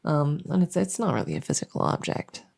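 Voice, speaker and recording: Friendly female monster under the bed, played by a woman, silly ASMR roleplay: mellow, low, soft-spoken, playful rambler. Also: huge pitch swings, fairly quick, vocal fry, exaggerated voice. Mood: chatty, playful.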